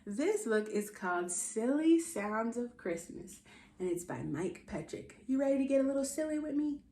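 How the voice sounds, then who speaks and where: An American woman hosting a children's storytime, reading aloud in a playful, expressive, theatrical way and talking straight to young listeners. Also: on the high side, normal speed. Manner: exaggerated, theatrical delivery of silly sound words. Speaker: children's read-aloud host. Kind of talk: animated storytime reading for kids. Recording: roomy echo, light background noise.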